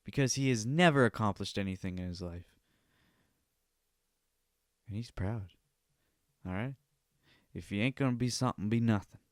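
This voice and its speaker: A man's voice: fairly low pitch, moderate pace, pauses often, dry recording, clean studio sound. A man hosting his own comedy podcast, rambling, swearing and laughing at his own jokes. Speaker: podcast host. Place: home studio.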